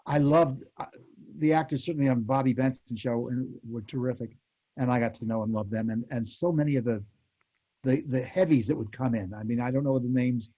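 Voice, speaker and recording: A man's voice: mid-range voice, a little fast, dark tone. An older man, a panel guest, reminiscing casually in a rambling way, recorded with dull lo-fi sound. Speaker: panel guest, former radio actor.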